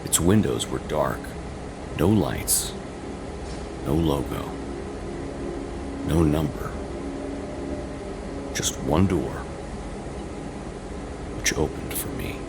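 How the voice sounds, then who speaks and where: A man narrating a scripted first-person horror story in a slow, suspenseful, deliberate and dramatic way, with a gravelly voice. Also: animated, very noisy. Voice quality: very deep, gravelly. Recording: loud ambient music bed under voice.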